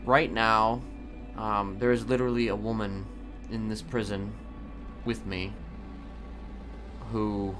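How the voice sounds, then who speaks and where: A man playing a brooding prisoner in a roleplay game, confiding in a halting, deliberate way and repeating words as he goes. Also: on the low side, flat delivery. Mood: confiding, measured. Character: brooding, confiding male prisoner seeking to reform. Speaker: streamer role-playing a character. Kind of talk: improvised in-character storytelling in a crime roleplay game. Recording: loud music behind.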